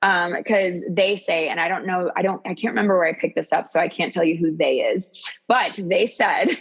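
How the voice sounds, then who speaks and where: A woman's voice: fairly low pitch, creaky, husky. A woman speaking as a coach and podcast guest, casual and confiding, often stopping to correct herself. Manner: halting, self-correcting, confiding. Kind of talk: casual, reflective podcast chat.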